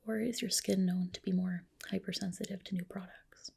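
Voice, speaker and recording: A woman performs an ASMR roleplay in a very breathy whisper with a crackle of vocal fry. She plays a clinic doctor, speaking softly and politely. Her manner is gentle and reassuring, like personal attention given up close. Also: mid-range voice, steady tone, brisk. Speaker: ASMR host roleplaying a dermatologist.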